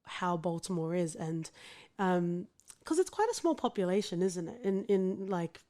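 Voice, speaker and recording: A woman with an Australian accent hosting a podcast, chatty and quick to laugh. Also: on the low side, very animated, dark tone. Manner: chatty, laughs often, trails off and restarts. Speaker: podcast host. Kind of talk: casual podcast chat.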